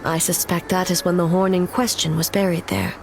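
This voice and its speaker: A woman playing a solemn, resolute warrior ruler in a video game, speaking in a measured, formal, grave way. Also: lowish voice, level tone, vocal fry, soft background music. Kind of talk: video game cutscene acting.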